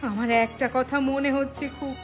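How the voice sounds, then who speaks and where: A woman voicing an ailing, remorseful village shopkeeper's wife in a melodramatic cartoon, pleading in a drawn-out, plaintive way. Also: high voice, fairly even intonation, soft background music, natural voice. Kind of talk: melodramatic cartoon story dialogue.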